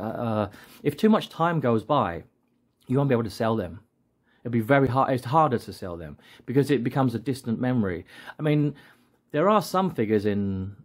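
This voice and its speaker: Rambling man in his late forties. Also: fairly low pitch. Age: 40s. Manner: rambling, jokey, often restarting sentences. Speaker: action figure seller and channel host. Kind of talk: casual vlog rant.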